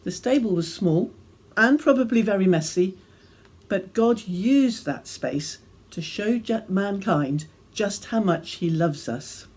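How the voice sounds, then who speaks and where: A woman reading a devotional reflection as gentle, measured storytelling with deliberate pauses. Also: mid-range voice, animated, breathy edge. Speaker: reader of her own devotional reflection. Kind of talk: scripted devotional reflection, storytelling read aloud.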